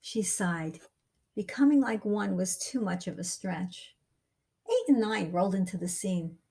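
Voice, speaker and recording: A woman reading a picture book aloud as a storyteller, with expressive, deliberate and dramatic phrasing for young listeners. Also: medium pitch, gravelly, breathy edge. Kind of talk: expressive children's read-aloud narration.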